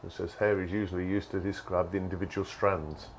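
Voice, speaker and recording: A man's voice: low-pitched, flat delivery, fairly quick, a little hiss or hum. A man off to the side of a live workshop stream, reading out viewer comments and teasing with dry humour. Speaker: co-host reading chat comments. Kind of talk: casual banter off-mic.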